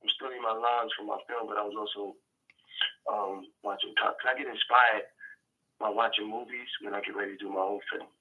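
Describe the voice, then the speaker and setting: A man's voice: lowish voice, steady tone, fairly quick, pushed and bright. A man from New York, an actor being interviewed over the phone, talking casually and rambling with many restarts. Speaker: actor guest. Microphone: phone call. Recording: phone line.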